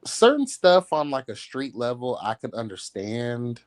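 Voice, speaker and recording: A man's voice: medium pitch, very animated, unhurried, dry recording, studio quality. A man giving casual celebrity gossip commentary as a livestream host, with a sassy, catty, blunt and slangy way of talking.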